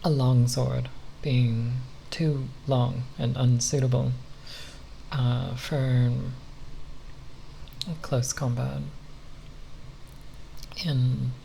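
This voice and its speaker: Slow, creaky, soft-spoken ASMR voice. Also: medium pitch, little pitch movement, a little hiss or hum. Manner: halting, hesitant, pausing mid-phrase. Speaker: ASMR video creator.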